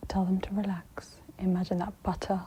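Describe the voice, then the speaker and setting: A woman whispers softly and breathily as she gently guides an ASMR meditation in a soothing, instructive manner. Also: medium pitch, flat delivery, normal speed, a little hiss or hum.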